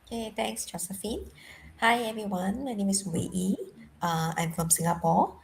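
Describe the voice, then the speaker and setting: A woman's voice: medium pitch, fairly even intonation, normal speed, vocal fry, a little hiss or hum. A woman from Malaysia giving a brief, matter-of-fact self-introduction. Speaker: guest presenter.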